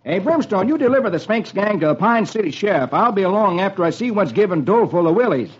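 A take-charge cowboy hero, as a man reader acts him, high in pitch and lively. His talk is folksy and commanding, heard on a dull vintage radio recording. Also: fast talker, slightly rough, heavily acted. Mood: friendly, concerned.

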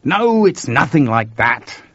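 Jittery young tech guy done by a man, husky, talks high and urgent, dry dull recording. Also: slow pace, dry recording, exaggerated voice. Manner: excited, talkative, urgent. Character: urgent, nervous young male computer technician.

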